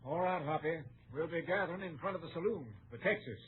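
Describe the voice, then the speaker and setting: An actor playing a brisk, businesslike town marshal in an old-time radio western. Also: male voice, a little high, husky. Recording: tinny vintage radio recording.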